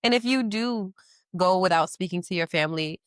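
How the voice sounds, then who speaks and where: Low-pitched woman, 30s, chatty podcast guest, laughs a lot, dry close sound. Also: moderate pace, clear, resonant tone, no echo. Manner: candid, animated, laughs often, slangy. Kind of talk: casual interview chat.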